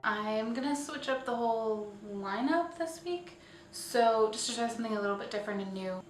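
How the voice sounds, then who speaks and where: Woman, casual show host, thinking-aloud delivery, echoey room, faint background noise. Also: medium pitch. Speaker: host of a weekly online show. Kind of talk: casual scripted-outline vlog commentary. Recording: roomy, distant mic, faint noise.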